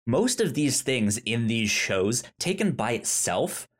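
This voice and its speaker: A man co-hosting a casual TV review podcast, speaking haltingly and often restarting his words. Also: medium pitch, big pitch swings. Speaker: podcast co-host.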